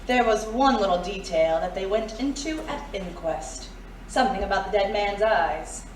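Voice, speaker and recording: A woman playing a hard-boiled, sardonic and quietly threatening underworld woman in an audio drama. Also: medium pitch, animated, moderate pace, lightly acted. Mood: cool, taunting. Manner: sardonic, controlled, menacing. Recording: roomy, distant, faint noise.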